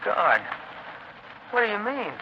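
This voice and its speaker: An actor playing a timid, polite out-of-town visitor who stammers and hesitates, in 1930s radio thriller style on a noisy old broadcast. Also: male voice, sits high, sing-song, slow, muffled recording, heavily acted. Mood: terrified.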